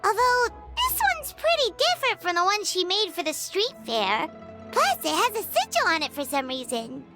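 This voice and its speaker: A woman voicing a small, excitable, childlike fairy companion in a video game, chirpy, cheerful and curious. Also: extremely high pitch, moderate pace, over loud music, heavily acted. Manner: exclamatory, chirpy, refers to herself by name. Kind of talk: video game character dialogue.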